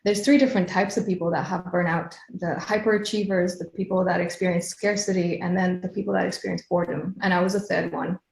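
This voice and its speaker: A woman's voice: fairly low pitch, level tone, quick pace, gravelly, hardly any pauses, a little mellow, reverberant, poor audio. A woman from Kenya in her late thirties, a hypnotherapist chatting casually as an interview guest, laughing easily.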